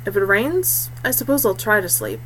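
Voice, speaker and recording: A woman voicing a quiet, self-possessed young male scout in an audiobook, understated and matter-of-fact. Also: mid-range voice, huge pitch swings, normal speed, a little hiss or hum, poor audio. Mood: calm, dry.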